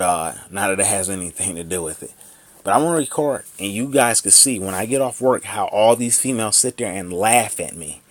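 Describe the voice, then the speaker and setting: A man's voice: on the low side, no room sound. Profane ranting man in his thirties. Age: 30s. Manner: angry, profane, repetitive ranting. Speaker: vlogger. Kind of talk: ranting vlog monologue.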